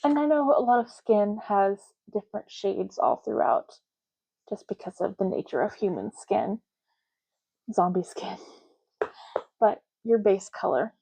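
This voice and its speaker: A soft-spoken woman doing an ASMR roleplay as a clerk, talking casually and matter-of-factly in unhurried short phrases with pauses. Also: medium pitch, lively intonation, creaky, husky, poor audio. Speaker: ASMR roleplay host, playing an intake clerk making ID cards.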